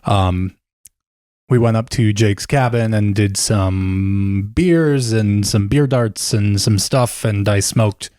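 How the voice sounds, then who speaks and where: A man's voice: lowish voice, takes it slowly, a little mellow, clear, resonant tone, no room sound, studio quality. Scratchy-voiced playful young man. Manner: playful, jokey, campy. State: scratchy, slightly hoarse. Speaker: podcast co-host. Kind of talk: casual chat.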